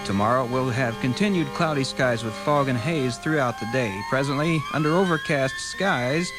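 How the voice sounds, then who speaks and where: A man's voice: medium pitch, normal speed. An American man reading scripted weather and community announcements as a radio announcer. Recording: old radio aircheck with music. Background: music.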